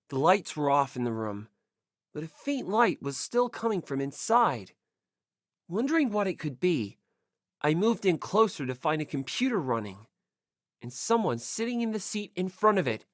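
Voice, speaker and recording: A woman reads first-person fiction as an audiobook narrator. Her delivery is expressive with wide, lively intonation, and the sound is dry. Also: lowish voice, dry recording. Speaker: audiobook reader.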